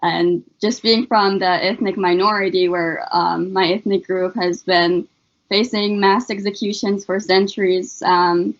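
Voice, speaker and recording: A woman's voice: mid-range voice, steady tone, unhurried, lo-fi sound. A young woman from Afghanistan, a student, earnestly answering interview questions about her life, with many filler words. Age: young adult. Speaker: student guest. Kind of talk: interview answers, personal story.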